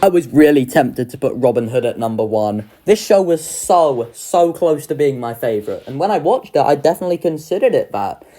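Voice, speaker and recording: Animated young reviewer, very varied pitch. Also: brisk, hardly any pauses. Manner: informal, swearing, enthusiastic. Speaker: video creator ranking children's pantomimes. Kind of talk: casual review ranking commentary.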